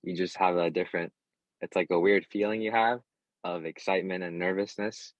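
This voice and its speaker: Deep-voiced young man, dry dull sound. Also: steady tone, brisk, dry recording. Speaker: former child cast member.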